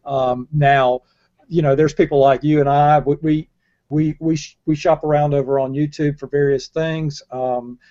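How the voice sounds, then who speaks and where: An older man with a fairly high voice talks casually on a panel. He often restarts and doubles up words. The sound is dull, like a lo-fi video call. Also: little pitch movement, dark tone. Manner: halting, repeats words. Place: indoor room, video call. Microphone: earbud headset on a video call.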